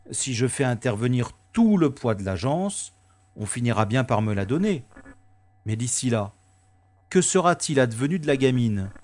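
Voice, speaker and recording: A man's voice: medium pitch, darkish tone. A man playing a sardonic, stubborn special agent in a science-fiction audio drama, with a wry, theatrical delivery.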